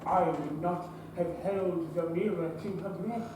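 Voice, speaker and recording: A gentle, deformed young Victorian man, played by a young male stage actor, speaks very slowly and earnestly in halting phrases. The sound is distant and very echoey, as from a theatre stage, with noise behind it. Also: sits high. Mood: earnest, wistful. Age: young adult. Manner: halting, deliberate short phrases. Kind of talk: live stage drama acting. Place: theatre stage, recorded from afar.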